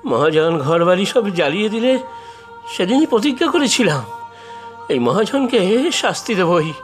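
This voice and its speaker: A man's voice: sits high, big pitch swings, normal speed, faint music behind. A man voicing a bold village henchman in a Bengali cartoon, with a dramatic, pointed delivery. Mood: earnest. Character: moneylender's bold village henchman, secretly righteous.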